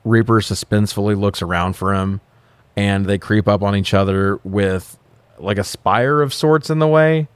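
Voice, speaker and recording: A man with a fairly low voice talks casually as a podcast co-host. He recaps and reviews film scenes in a joking way and swears freely. The sound is very dry, with no room on it. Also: moderate pace, clear, resonant tone, dry recording.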